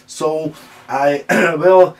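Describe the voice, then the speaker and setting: A man with a Czech accent hosting his own channel, talking casually in halting English as he shows vintage speakers on a table, often stopping with "uh" to find his words. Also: a little high, steady tone. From Czech Republic. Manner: halting, searching for English words, many "uh" fillers. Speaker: channel host. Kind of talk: casual hands-on speaker demo.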